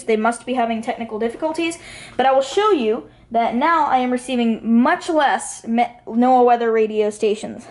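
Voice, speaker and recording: A woman talking casually and rambling through commentary as the host of a hobby video. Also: on the high side.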